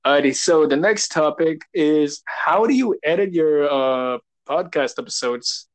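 A man's voice: on the high side, a little slow. A young man hosting a casual podcast, talking loosely with lots of fillers while his voice keeps cracking. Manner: rambling, filler-heavy, laughing. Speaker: podcast host. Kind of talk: casual chat.

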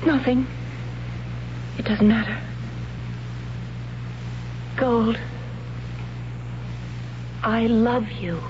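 A woman's voice: on the high side, steady tone, drawn-out words, long pauses. An actress playing a naive, tender, anxious young tribeswoman in an old radio drama. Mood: hesitant tenderness. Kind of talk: 1970s radio drama acting. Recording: muffled, noisy old radio recording.

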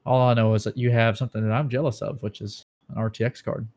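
A man co-hosting a relaxed gaming podcast, his pitch sitting squarely in the middle. He talks excitedly about graphics cards and prices, with quick jokes thrown in. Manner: excitable, breaks into dramatic movie-quote impressions. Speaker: podcast co-host. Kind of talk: casual gaming news chat.

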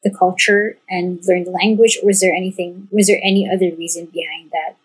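A woman's voice: fairly low pitch, steady tone, a little fast, slightly rough, rough recording. A woman hosting a podcast, chatting casually and asking curious follow-up questions. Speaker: podcast host. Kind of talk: casual interview chat.

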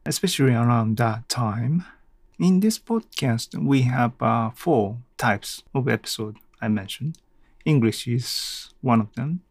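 A man from Japan, a native Japanese speaker with a Japanese accent, hosting a casual solo podcast and haltingly thinking aloud. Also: mid-range voice, darkish tone, dry recording. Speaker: podcast host.

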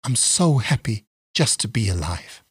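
A man reading a storybook voices a young man, once a duck, full of remorse, in a husky voice. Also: fairly low pitch, sing-song, measured pace, no room sound, studio quality, heavily acted. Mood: relieved.

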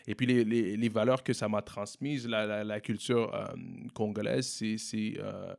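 A young man from Montreal with a Québécois French accent, answering interview questions in a relaxed way, often repeating and restarting words. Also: lowish voice, measured pace, gravelly. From Montreal, Quebec. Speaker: entrepreneur guest. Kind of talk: casual interview conversation.

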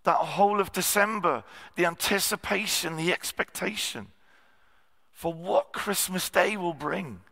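Husky male preacher, bright tone, long hall reverb; self-deprecating, halting delivery. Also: high-pitched. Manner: self-deprecating, frequent ums and throat clearing. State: slightly husky voice. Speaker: guest preacher. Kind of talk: sermon. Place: church hall.

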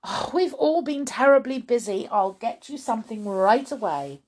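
Storybook mother, performed by a woman: anxious, flustered, high-voiced wailer, very animated delivery. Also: normal speed. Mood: flustered, apologetic. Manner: wailing, pleading, crying out. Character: flustered, anxious middle-aged mother and wife.

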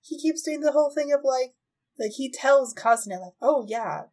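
A woman with a fairly high voice hosts a casual podcast chat. She talks in a halting, loosely structured way with a giggly streak, as if retelling a show to a friend. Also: animated, darkish tone. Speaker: podcast host. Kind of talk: casual chat, recapping an anime.

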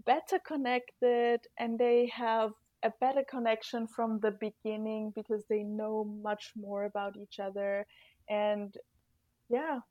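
Young Austrian woman, former exchange student, podcast guest, unhurried and earnest, dry close sound. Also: medium pitch, no room sound, dull audio. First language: German. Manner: thoughtful, earnest, with soft laughs and fillers. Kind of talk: podcast interview answers.

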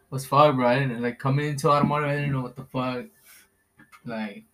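A young man guesting on a casual podcast, answering in terse, low-key slang with frequent swearing and "bro". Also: steady tone, normal speed, a bit bright, low-quality recording. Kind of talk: casual chat with heavy swearing.

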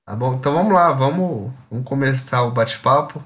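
A man's voice: medium pitch, lively intonation, a little fast, a bit bright, breathy edge, no room sound. Upbeat male podcast host. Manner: friendly, upbeat promo reading. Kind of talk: podcast intro and interview.

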